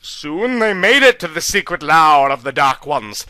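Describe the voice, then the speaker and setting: A man's voice: a little high, very animated, a little slow, sharp tone, smooth, no echo. A man narrating a childish adventure story with earnest, mock-dramatic delivery. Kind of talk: comic reading of a childish story.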